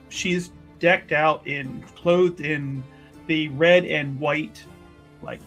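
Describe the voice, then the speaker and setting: Higher-voiced man describing his game character. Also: a bit bright. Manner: hesitant, piecemeal phrasing. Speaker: tabletop game player. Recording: music playing behind the voice.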